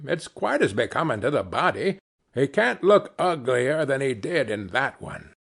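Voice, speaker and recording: A man's voice: big pitch swings, a little slow, creaky, fairly bright tone, slightly rough, exaggerated voice. A man voicing a coarse, greedy woman who cackles and mocks. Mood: mocking. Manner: shrill cackling laughter. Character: coarse scheming old charwoman, mocking and greedy.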